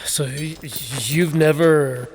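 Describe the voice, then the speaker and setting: A man acting in an audio drama as a haunted, exhausted traveller, halting and stammering. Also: fairly high pitch, steady tone. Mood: hesitant. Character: haunted, freezing, exhausted young-hearted male traveller. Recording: clearly noisy, windy ambience.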